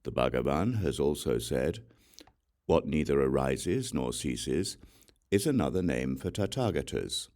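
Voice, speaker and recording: Man, very low gravelly voice, measured scripted audiobook reading, deliberate phrasing. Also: lively intonation, a little mellow. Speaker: audiobook reader.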